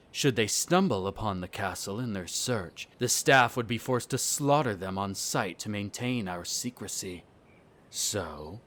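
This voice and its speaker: A man's voice: on the low side, lightly acted. Haughty, condescending vampire nobleman, acted by a man. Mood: cold disdain. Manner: condescending, sardonic, formal wording. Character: haughty, smug male vampire noble, disdainful of humans. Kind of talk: scripted roleplay audio drama.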